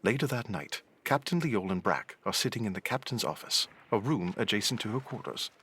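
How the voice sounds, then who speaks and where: A man's voice: on the low side, animated, fairly quick, a bit bright, breathy edge. A man delivering scripted story narration for an audio drama.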